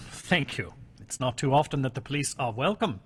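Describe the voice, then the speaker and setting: An actor playing a courteous but quietly suspicious police inspector in a radio mystery, questioning politely. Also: male voice, medium pitch, expressive, moderate pace, slight background noise, lightly acted. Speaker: actor in audio drama. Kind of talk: old-time radio mystery acting.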